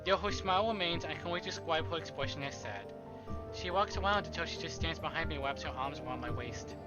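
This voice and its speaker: A man's voice: fairly high pitch, fast, lo-fi sound. A man streaming a visual novel playthrough, chatting in a jokey, sweary way and reading text aloud. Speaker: game streamer. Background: visual novel music.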